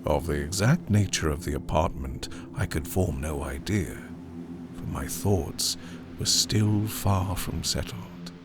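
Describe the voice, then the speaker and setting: A man performing a haunted survivor in a dramatic gothic horror audiobook, grave and theatrical, with hushed near-whispered phrases. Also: deep voice, slow, noisy background. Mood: hushed wonder. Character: haunted male survivor of an opium overdose, recounting horror. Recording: studio narration over music.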